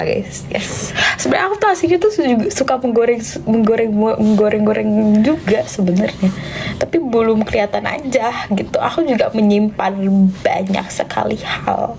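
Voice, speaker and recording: A young woman chats casually with her viewers, answering questions as they come in. Her pitch sits in a middling range, and she throws in English words here and there. A faint hiss runs under the phone recording. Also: gravelly, darkish tone. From Indonesia. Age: young adult. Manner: chatty, mixes English phrases, drops to a whisper at times. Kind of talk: casual live Q&A chat.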